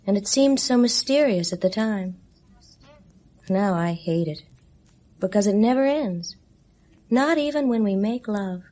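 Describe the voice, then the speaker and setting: A woman's voice: mid-range voice, animated, a little slow, pauses often, dark tone, lightly acted. A woman playing a sighing, bored young lover. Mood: bitter. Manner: languid, sighing, bitterly weary. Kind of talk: 1970s radio drama acting.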